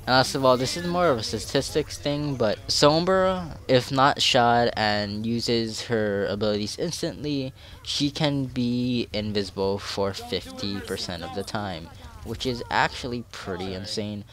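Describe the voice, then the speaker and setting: A man's voice: medium pitch, measured pace, fairly bright tone, soft background music. A young man with a nasal, stuffy voice from a cold, explaining game mechanics in a tutorial voice-over and rambling in self-deprecating asides. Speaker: game guide creator.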